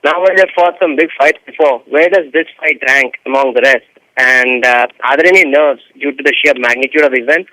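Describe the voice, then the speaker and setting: A man's voice: medium pitch, brisk, pushed and bright, dry recording, low-quality recording. A man with an Indian accent, a sports reporter politely putting formal questions over a conference call.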